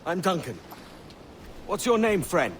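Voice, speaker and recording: An actor playing a brash, roguish young pirate sailor with a cocky, plain-spoken way of talking in a video game cutscene. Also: male voice, high voice, big pitch swings, a little slow, a little hiss or hum. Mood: smooth, sly.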